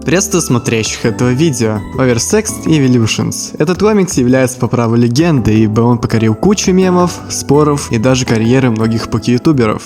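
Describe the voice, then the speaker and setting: A man's voice: mid-range voice, lively intonation, fairly quick, few pauses. A man narrating a tongue-in-cheek video essay as host, with a blunt, slangy, mock-serious delivery. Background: background music.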